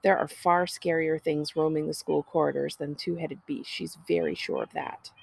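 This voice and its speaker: A woman reading a children's story aloud in an expressive narrating style. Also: deep voice, moderate pace, vocal fry, husky. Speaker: librarian reading a book aloud.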